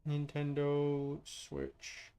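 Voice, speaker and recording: A man's voice: a little high, completely flat delivery, drawn-out words, mellow, clear, resonant tone. A man live streaming a game, talking casually and bluntly with exasperated, sweary asides. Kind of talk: casual gaming stream chat. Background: game music.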